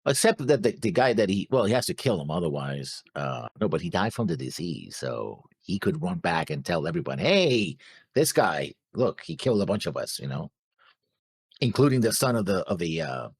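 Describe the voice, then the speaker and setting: A man co-hosting a reaction show, talking casually and haltingly as he thinks aloud about a series. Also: low-pitched, big pitch swings, creaky, husky, dry recording, clean studio sound. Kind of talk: casual discussion of an animated series.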